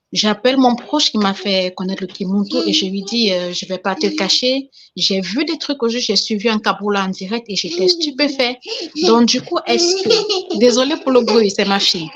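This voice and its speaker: A woman's voice: on the high side, animated, moderate pace, lo-fi sound, a crowd in the background. A woman from Cameroon, calling in to a spiritual talk show to give an emotional testimony, often repeating herself. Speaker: caller giving testimony.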